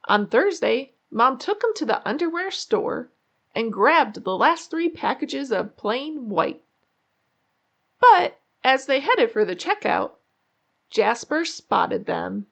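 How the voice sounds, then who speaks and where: A woman reading a children's story aloud in an expressive way, drawing out key words for suspense. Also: fairly high pitch, slow pace, vocal fry. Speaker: children's book narrator. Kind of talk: animated read-aloud storytelling.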